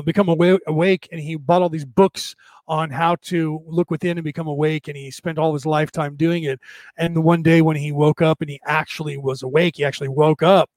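Raspy guy rambling on a livestream, kinda high voice, restarts a lot, dry sound. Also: a little mellow, no echo. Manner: rambling, repetitive spiritual musing with many restarts. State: voice tiring. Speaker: podcast host. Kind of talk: casual livestream monologue answering viewer comments.

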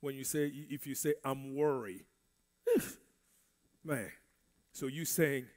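A man's voice: mid-range voice, slow, darkish tone. A man from the Congo whose first language is French, teaching a Bible study in a church in a conversational preaching style. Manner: conversational preaching, repeats words. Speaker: minister.

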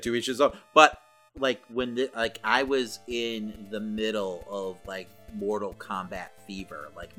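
Bright, low-pitched man in casual chat. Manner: informal, nostalgic fan talk. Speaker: podcast co-host.

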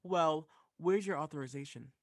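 A man's voice: fairly high pitch, normal speed, close to an everyday voice. A man playing a fussy, exasperated, skeptical telegraph clerk in an old-time radio play.

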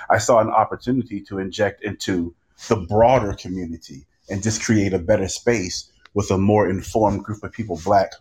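A man podcaster giving a reflective panel answer in an earnest, deliberate, emphatic way. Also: on the low side, breathy edge, poor audio.

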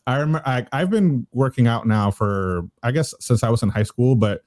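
A man's voice: medium pitch, moderate pace, darkish tone, no echo, clean studio sound. A man in his thirties interviewing in an informal, agreeable, rambling conversational way. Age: 30s.

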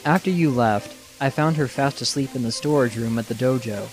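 A man's voice: medium pitch, normal speed, lightly acted. Man playing an arrogant young swordsman, music behind. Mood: matter-of-fact. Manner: irritable, dismissive, blunt. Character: arrogant, gruff young master swordsman, secretly soft-hearted. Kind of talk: anime-style audio drama acting.

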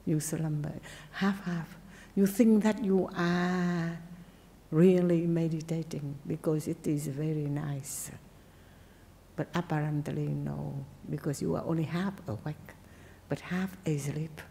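A woman Buddhist nun, not a native English speaker, giving a gentle dharma talk with simple phrasing and pauses. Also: low voice, fairly even intonation, gravelly, big-room sound. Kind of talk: dharma talk and meditation teaching.

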